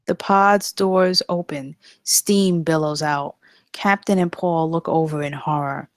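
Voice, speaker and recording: A woman's voice: low voice, fairly even intonation, slow pace, dry recording. A woman narrating scripted stage directions at a live comedy table read.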